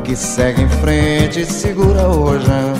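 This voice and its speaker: Slow woman's voice over loud music. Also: sits low, echoey room.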